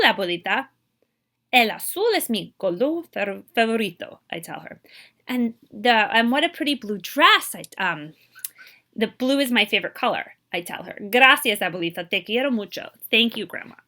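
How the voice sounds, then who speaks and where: Bright, animated woman reading a picture book. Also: on the high side, normal speed. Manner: animated, emphatic delivery for young listeners; switches between English and Spanish. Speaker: librarian reading a children's book aloud. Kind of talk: expressive picture-book read-aloud with translations and asides.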